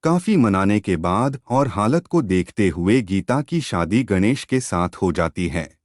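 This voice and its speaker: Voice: sits low, animated, unhurried, darkish tone, no echo, studio quality. A narrator reading a scripted moral story.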